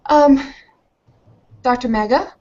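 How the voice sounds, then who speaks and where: A woman's voice: sits high, takes it slowly, mellow. A woman playing a candid, hesitant young newlywed bride who is frustrated, in improvised tabletop roleplay. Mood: earnest, probing. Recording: dull lo-fi voice recording.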